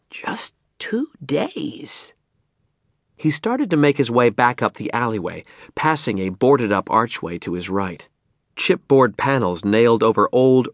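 Expressive male audiobook narrator, dry studio sound. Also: mid-range voice, dry recording. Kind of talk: expressive scripted narration of fiction.

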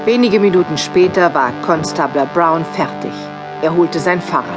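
An actress narrating a suspenseful horror tale in an ominous scripted storytelling manner. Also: female voice, lowish voice, moderate pace, lightly acted. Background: music.

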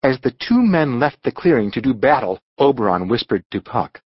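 A man narrating a storybook as an audiobook reader, in a dramatic, expressive scripted style. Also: medium pitch, moderate pace, slightly rough, no room sound, dull audio.

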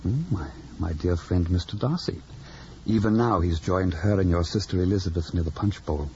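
An actor playing an amiable, smitten young gentleman in a period radio drama, courtly and effusive. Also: low voice, moderate pace. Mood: courtly, cheerful. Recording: dull radio recording with music behind.

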